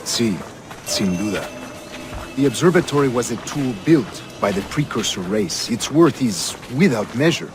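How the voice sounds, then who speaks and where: An actor playing an authoritative older governor and scheming grandmaster in a video game, with a Spanish accent and a measured, formal, grandiose delivery. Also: male voice, mid-range voice, lively intonation, music in the background, close to an everyday voice. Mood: composed, commanding. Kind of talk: dramatic video game acting.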